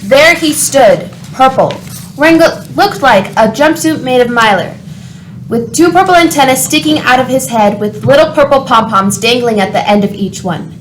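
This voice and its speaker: A woman with a fairly high voice reads scripted narration for a comic radio play. There is a faint layer of noise in the recording. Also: normal speed, poor audio.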